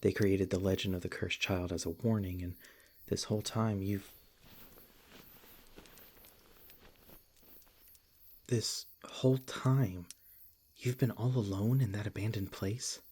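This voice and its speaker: A man playing a protective, tender monster hunter in an ASMR roleplay, speaking in a gentle, halting, earnest way with a husky, breathy voice. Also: fairly low pitch, steady tone. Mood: sympathetic. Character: protective, tender male monster hunter comforting his beloved. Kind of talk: ASMR roleplay, soft intimate acting.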